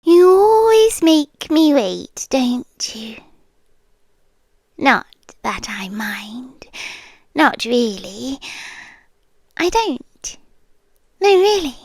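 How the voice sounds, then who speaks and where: A woman with a British accent voicing a possessive, doting vampire girlfriend in intimate ASMR roleplay, breathy and often whispering close to the microphone. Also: high-pitched, very animated, a little mellow, dry recording, exaggerated voice. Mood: adoring, obsessive. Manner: slow, lingering, teasing menace. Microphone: close microphone, dry.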